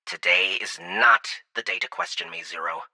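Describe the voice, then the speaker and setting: A man playing a stern, menacing alien military commander in an audiobook, terse and gravelly. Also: deep voice, huge pitch swings, moderate pace, bright, forceful tone, no echo, full character voice. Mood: tense command. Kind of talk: audiobook character acting.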